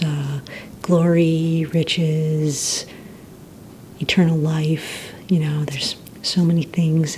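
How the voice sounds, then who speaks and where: A woman playing a polite, organized receptionist at a supervillain recruitment center in a soft-spoken, breathy ASMR roleplay, with gentle, hesitant, courteous questioning. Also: sits low, slight background noise. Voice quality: soft, breathy, near-whisper.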